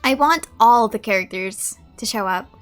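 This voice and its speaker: A woman's voice: a little high, normal speed, a bit bright, faint music behind. A woman doing casual gameplay commentary, rambling and reacting in loose slang. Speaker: streamer playing and reacting to a game.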